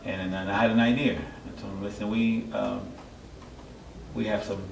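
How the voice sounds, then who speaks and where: A middle-aged man from Haiti giving a personal testimony as an interview guest, halting and searching for his words. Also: lowish voice, little pitch movement. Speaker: interview guest, church member. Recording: echoey, distant mic, clear background noise.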